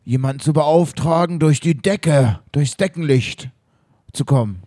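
A man's voice: a little high, measured pace, dark tone, no room sound, clean studio sound. A man playing a fumbling, eager police chief in an improvised audio drama, hesitating and losing his thread. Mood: eager, confused. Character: fumbling, admiring male police chief, eager but slow to follow.

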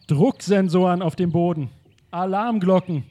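A man's voice: sits high, unhurried, warm tone. A man playing an indignant rich collector. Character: rich eccentric male art collector, indignant.

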